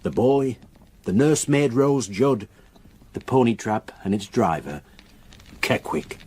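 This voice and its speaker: A solemn villager in a gothic radio play, his voice husky and breathy. He speaks haltingly and ominously, as someone reluctant to give up an old secret. The pitch sits in a middle male register. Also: sing-song, darkish tone. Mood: sombre recounting. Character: grave, reluctant local countryman haunted by dark secrets. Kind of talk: radio drama acting, storytelling.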